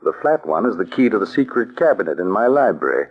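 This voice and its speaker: A middle-aged army colonel, lovesick and anxious, in a vintage radio play. His voice is low but swings widely in pitch as he halts and pleads. The old broadcast recording is dull and lo-fi. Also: sing-song, fast talker. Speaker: actor in radio drama. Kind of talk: 1940s radio acting.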